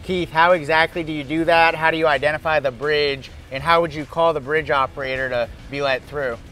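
A man's voice: on the high side, steady tone, a little fast, sharp tone. A man in his thirties hosting casually, presenting outdoors on a lapel mic. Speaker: host. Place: outdoors at a marina dock. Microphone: clip-on lapel mic.

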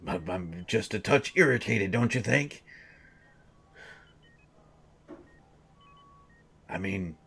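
A man voicing a gruff male sports coach who is slowly turning into a hungry monster, speaking haltingly and repeating himself. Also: on the low side, a bit bright. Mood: irritated. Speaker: audio drama voice actor. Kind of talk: audio roleplay monologue.

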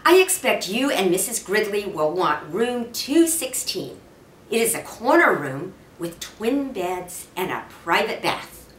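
A woman voicing a polite bellboy of about sixty in a storybook, courteous and deliberate. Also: mid-range voice, very animated. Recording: roomy, distant mic with faint noise.

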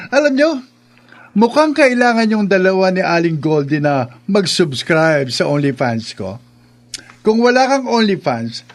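A shameless young man, proud of his looks, in a Filipino radio drama. His voice sits fairly high for a man and his delivery is teasing, boastful and playful.

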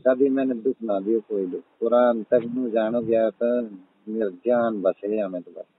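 A man with a Mewati accent talks casually over the phone. His voice is fairly low and rough-edged with husk. The recording is narrow and tinny, like a saved call. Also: steady tone, moderate pace, dry recording. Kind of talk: casual private phone chat. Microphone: telephone.

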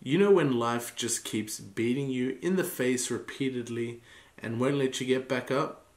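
A man's voice: mid-range voice, unhurried, faint music behind. Congested man in a roomy workshop. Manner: relaxed, explanatory, talking to camera. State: congested from a cold. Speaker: hobby watchmaker vlogger. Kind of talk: casual workshop vlog. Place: home workshop, indoors.

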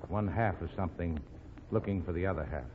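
An actor playing a doomed fugitive in love, tender, resolute and earnest, in old radio drama style. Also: male voice, low-pitched, fairly quick, background noise, lightly acted. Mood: tender, wistful. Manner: earnest, romantic, melodramatic. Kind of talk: 1940s radio drama acting. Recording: tinny old radio recording with music.

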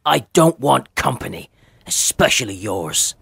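A man acting a proud, scornful young man with a raspy voice and gruff, defiant manner in an audio drama. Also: mid-range voice, big pitch swings, a little slow, a bit bright, dry recording, studio quality, full character voice. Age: young adult.

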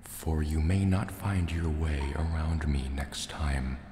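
An extremely low, dark-toned sea serpent dragon , played by a man, echoes through a cave. He is huge, proud and wary, murmuring soft threats with deliberate care in an ASMR roleplay. Faint water ambience sits behind the long reverb. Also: slight background noise. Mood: stern. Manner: slow, deliberate, threatening yet hesitant. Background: water sounds and cave ambience.

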